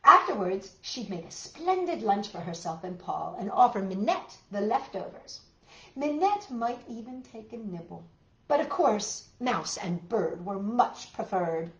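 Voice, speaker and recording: A woman's voice: mid-range voice, very animated, unhurried, sharp tone, muffled recording. A woman reading a picture book aloud to children with dramatic emphasis and chatty asides to her listeners. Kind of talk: expressive children's read-aloud. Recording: roomy, echoey room sound.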